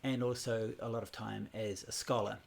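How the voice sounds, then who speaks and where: A man from New Zealand in his forties, teaching a Buddhism class over a casual livestream in a relaxed, rambling way with many fillers and restarts. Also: on the low side, normal speed, gravelly. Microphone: computer or phone livestream.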